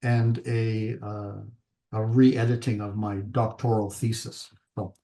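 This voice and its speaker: Older man, mellow dark voice, measured lecture, restarts often, video call. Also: on the low side, flat delivery. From Italy. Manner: measured, with frequent restarts and fillers. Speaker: guest lecturer. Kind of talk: webinar lecture.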